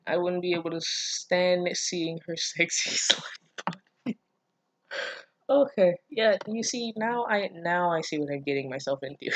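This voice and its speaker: Sarcastic low-pitched woman reacting. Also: no room sound. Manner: sarcastic, incredulous, profane, interjecting. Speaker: host reading fan fiction with commentary. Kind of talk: casual reaction read-aloud.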